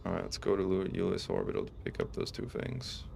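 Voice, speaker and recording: A man's voice: sits low, fast, gravelly. A man from Italy with a faint Italian accent, chatting casually while streaming a space game and reading viewers' messages. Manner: relaxed, chatty, reads chat aloud. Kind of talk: casual gameplay stream chat. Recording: steady background noise.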